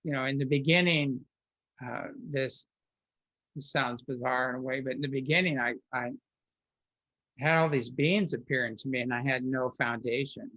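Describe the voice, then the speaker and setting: A man's voice: mid-range voice, fairly even intonation, moderate pace, sharp tone, no room sound. Rambling man on a dull, dry recording. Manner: rambling, with frequent restarts and filler words. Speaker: guest. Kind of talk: personal storytelling in an interview.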